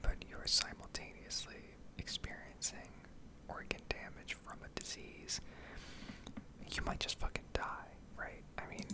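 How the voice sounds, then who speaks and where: A woman with an American accent whispering a candid, rambling ASMR monologue with hesitant phrasing. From New England, United States. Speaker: ASMR video creator.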